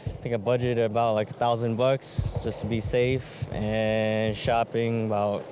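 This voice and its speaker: A man from San Francisco answering a street interview in a relaxed way, pausing on ums. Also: medium pitch, noisy background. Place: city street outdoors.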